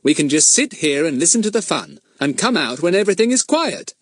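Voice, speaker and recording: A man's voice: a little high, very animated, dry recording, pitched above the performer's own voice. A man reading a storybook voicing a bold, cheerful schoolboy who leads his friends. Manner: brisk, cheerful, polite to adults.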